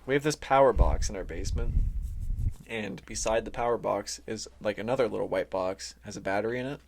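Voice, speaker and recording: A man's voice: lowish voice, a little fast, vocal fry, slight background noise. A young man co-hosting a podcast in casual chat, with a laid-back, slangy way of talking and some swearing. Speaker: podcast co-host.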